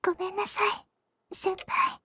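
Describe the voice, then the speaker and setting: An actress playing a gentle, deferential and anxious teenage schoolgirl in an anime visual novel, with polite speech. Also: fast, lightly acted. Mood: pleading, apologetic. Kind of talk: Japanese visual novel voice acting.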